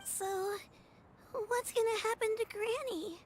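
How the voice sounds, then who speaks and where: A woman's voice: very high-pitched, fairly even intonation, slow pace, slight background noise. A woman voicing a small, devoted little girl with an earnest, plaintive, childlike manner in a video game. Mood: pleading, scared.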